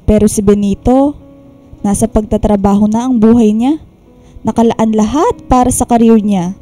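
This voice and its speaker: A woman's voice: a little high, normal speed, dark tone, faint music behind, natural voice. A woman playing a caring older Filipino mother in a radio drama, fretting and scolding with motherly emphasis. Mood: reflective.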